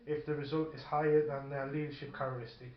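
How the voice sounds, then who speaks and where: A man's voice: mid-range voice, little pitch movement, quick pace. A man playing a tabletop wargame, chatting casually and hesitantly as he thinks aloud and reads out rules. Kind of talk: casual game chat, partly reading rules aloud. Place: indoor room during a filmed tabletop game. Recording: roomy, dull, faint background noise. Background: faint noise, radio playing.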